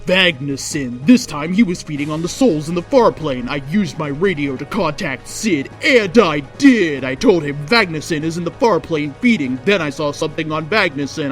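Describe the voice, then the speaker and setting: Female storyteller, theatrical delivery with drawn-out emphasis, reading fan fiction over a light music bed. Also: low-pitched. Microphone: microphone without pop filter. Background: faint music.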